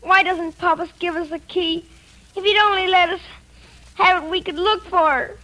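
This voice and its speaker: A shrill, high-voiced distraught little boy. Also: fairly even intonation, normal speed, pushed and bright, low-quality recording. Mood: earnest, insistent. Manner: plaintive, insistent. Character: distraught young boy, haunted and insistent about his dead mother. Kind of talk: old-time radio drama acting. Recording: vintage radio drama recording.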